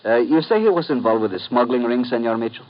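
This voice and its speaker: An actor playing a courteous Cuban police lieutenant in a 1950s radio drama, measured and formal. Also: male voice, medium pitch, animated, lightly acted. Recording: dull old radio broadcast, faint noise.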